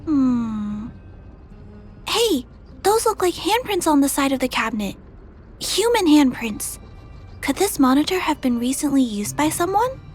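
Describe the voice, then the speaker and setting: A woman voicing a gentle, curious little girl in a video game, musing thoughtfully and wondering aloud. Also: sits high, very animated, a little slow, long pauses, faint music behind. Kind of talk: video game character dialogue.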